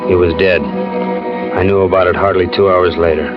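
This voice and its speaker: A man's voice: sits low, fairly even intonation, moderate pace, lightly acted. An actor playing a naive, eager young working man, earnest and hesitant, in 1940s radio style. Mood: somber narrating. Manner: hesitant, stammering, boyish. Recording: muffled vintage radio recording with music.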